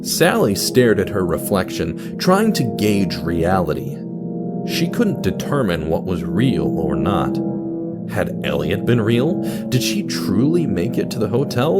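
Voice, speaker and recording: A man narrating a scripted story as a dramatic storyteller, with deliberate pauses and direct address to the listener. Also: lowish voice, big pitch swings, loud music behind the voice.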